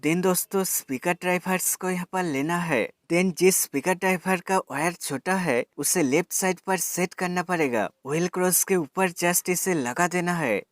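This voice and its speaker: A man's voice: high voice, unhurried, few pauses, faint music behind. A man presenting a tech product demonstration, explaining step by step in an instructional way and addressing viewers as friends. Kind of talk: product demonstration and how-to voice-over.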